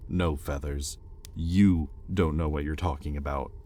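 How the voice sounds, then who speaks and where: A man's voice: rumbling low, steady tone, measured pace. A man playing a bitter, conflicted demon in a roleplay audio drama, speaking to his captive with brooding intensity. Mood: frustrated. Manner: brooding, intense, swinging between hushed confession and heated accusation. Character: bitter, conflicted male demon, possessive owner of a captive angel. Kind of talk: scripted roleplay audio acting, addressed to the listener.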